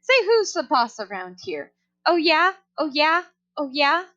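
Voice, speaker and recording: A woman voicing a rude, bossy female pet parrot in a children's storybook, yelling repetitive, mocking phrases on a dull recording. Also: high-pitched, sing-song, unhurried, voice pushed up, exaggerated voice. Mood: defiant. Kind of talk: children's storybook read-aloud. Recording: dull, lo-fi sound.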